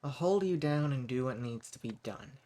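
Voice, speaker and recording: A man's voice: mid-range voice, animated, warm tone, slight background noise, lightly acted. A man playing a centuries-old vampire in an audio roleplay, speaking straight to the listener with condescending, taunting menace. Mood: coaxing, menacing. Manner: condescending pet names, taunting, unhurried menace. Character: centuries-old sadistic male vampire, coolly mocking and possessive. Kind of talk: scripted audio roleplay spoken directly to the listener.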